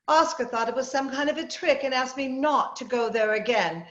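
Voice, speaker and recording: Muffled and roomy, a woman acting as a high-pitched middle-aged suburban wife in an old-time radio play. She is bossy and credulous, telling her story in an insistent, chatty way. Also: normal speed, lightly acted. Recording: muffled, roomy video-call audio.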